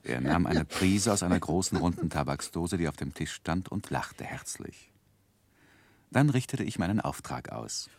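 A man playing a thoughtful first-person narrator who recounts his boyhood, with measured, articulate delivery in a radio drama. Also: sits low, lively intonation, no echo. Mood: reflective. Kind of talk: scripted literary narration in 1960s German radio play.

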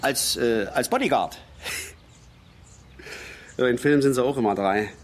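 An actor in a naturalistic German radio drama playing a boastful, dreamy working-class drinker who rambles and insists. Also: male voice, mid-range voice, unhurried, lightly acted. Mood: wistful, dreamy. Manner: rambling, insistent, repeats himself.

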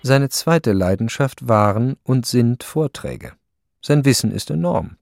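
A man narrating a radio play as a detached, precise storyteller, matter-of-fact and deadpan. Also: mid-range voice, unhurried, gravelly, no room sound, studio quality.

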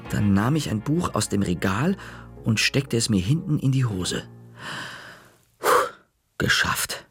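A man narrating a children's story in the first person, calm, dropping to a whisper in quiet moments. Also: lowish voice, slow, soft background music.

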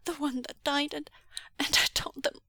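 A woman voicing a frightened, guilt-ridden teenage boy in a story, crying and stammering in breathy whispers. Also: high-pitched, little pitch movement, moderate pace, pushed and bright, no echo, studio quality, voice pushed up. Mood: guilty, sobbing.

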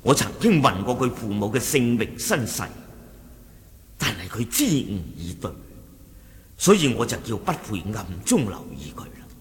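A man's voice: mid-range voice, very animated, moderate pace, close to an everyday voice. An actor with a Cantonese accent playing a suspicious martial-arts sect lieutenant who reports deferentially to his chief in a radio drama, with emphatic exclamations. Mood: animated. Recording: long reverberant hall echo.

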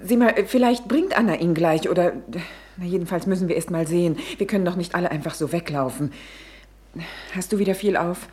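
A woman's voice: fairly low pitch, fairly quick, darkish tone, close to an everyday voice. Actress as an anxious, worn-down mother. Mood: strained, weary. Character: anxious, worn-down mother of a missing small boy. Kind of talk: German radio drama acting.

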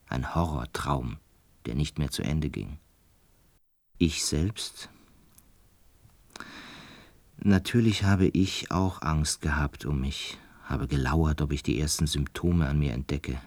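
An actor playing a gentle, melancholic butterfly enthusiast in a radio drama, measured, reflective and hesitant, with a husky voice. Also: male voice, a bass voice, dry recording, heavily acted. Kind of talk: radio drama acting and first-person narration.

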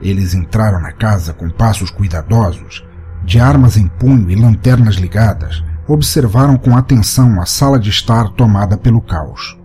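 A man narrating a scripted short story for a podcast in a measured, dramatic storytelling way. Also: lowish voice, mellow. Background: music under the voice.

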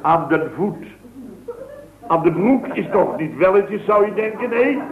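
A man's voice: sits high, expressive, a little slow. An actor playing a boastful, wisecracking man at a building firm, telling a rambling comic anecdote in a 1960s radio sketch. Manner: chatty, rambling anecdote with punchy comic asides. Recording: tinny, noisy, echoey old radio broadcast.

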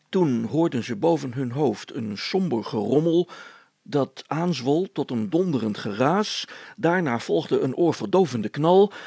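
A man tells a story in a measured, scripted read. His voice is husky with heavy creak. The recording is dry and close, and the words are carefully articulated. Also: medium pitch, warm tone, no room sound.

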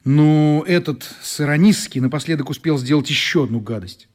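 An actor playing a sympathetic, frank man with a husky voice who explains things earnestly in a radio play. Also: on the high side, normal speed, close to an everyday voice. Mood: regretful, explaining.

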